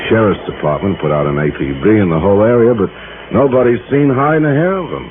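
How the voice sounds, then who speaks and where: A man's voice: on the low side, expressive, close to an everyday voice. A man acting a talkative, eager American insurance man in a 1950s radio drama, slangy and salesman-like. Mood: chatty, confiding. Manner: slangy, hesitant pauses, salesman-like. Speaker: radio actor playing a supporting part. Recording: muffled, noisy old radio broadcast.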